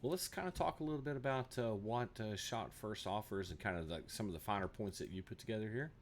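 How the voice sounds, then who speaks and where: Interview host, man with a lowish voice, easy affirming manner. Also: quick pace, a little hiss or hum. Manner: affirming, prompts with questions. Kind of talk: casual interview.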